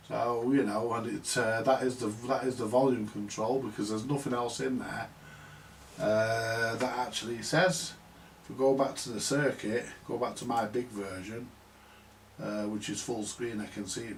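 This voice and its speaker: A man giving halting, unscripted commentary at a workbench, muttering to himself as he works things out. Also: medium pitch, steady tone. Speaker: vintage radio repair hobbyist. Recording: distant mic with background hiss.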